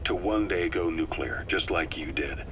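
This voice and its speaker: A man playing a hardened, wry mercenary officer giving a deliberate, emphatic briefing in a video game. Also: low-pitched, dull audio, slight background noise, lightly acted. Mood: grave, pointed.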